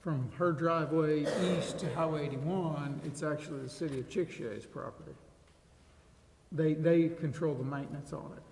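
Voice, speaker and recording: A man's voice: on the high side, steady tone, moderate pace, a little mellow. An American man explaining a point as a council member at a public meeting. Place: large indoor council chamber. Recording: echoey hall, background noise.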